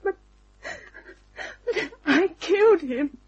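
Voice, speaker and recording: An actress playing a frightened, pleading young woman in an old-time radio mystery, breathy and husky, speaking haltingly. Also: extremely high pitch, animated, lo-fi sound, heavily acted. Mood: distraught, tearful.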